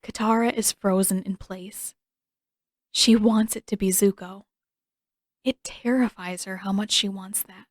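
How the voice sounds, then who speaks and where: A woman's voice: medium pitch, fairly even intonation, a little slow, no room sound. Woman narrating a story, close and dry. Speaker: fan fiction narrator.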